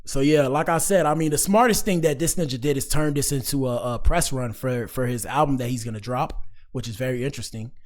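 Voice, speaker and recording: An American man hosts a livestream in a mid-pitched voice. He talks informally and full of slang, addressing his viewers directly as he comments. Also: a little fast, a little mellow. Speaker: livestream host reacting to clips.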